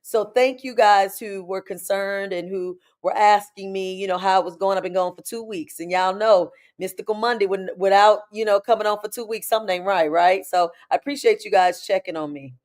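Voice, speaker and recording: A woman with a hoarse, raspy voice, hosting a casual live-stream chat as a spiritual coach and talking familiarly to her viewers. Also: medium pitch, steady tone, brisk, few pauses. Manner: chatty, familiar, calls viewers "baby" and "y'all".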